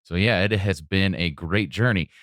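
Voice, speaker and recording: A man co-hosting a podcast, speaking casually with earnest, deliberate phrasing. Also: sits low, fairly bright tone, no room sound, clean studio sound. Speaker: podcast co-host.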